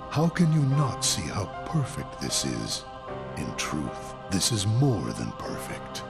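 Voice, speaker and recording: An actor playing a grave, imperious leader of a dark order in a video game, solemn and portentous. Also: male voice, low-pitched, creaky, exaggerated voice. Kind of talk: video game cutscene acting.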